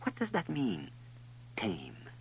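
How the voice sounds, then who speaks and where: A man's voice: on the low side, wildly swooping pitch, slow, a little hiss or hum, full character voice, low-quality recording. A man voicing a lonely, inquisitive little boy prince in a storybook reading, with an earnest, questioning manner. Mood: lonely, curious.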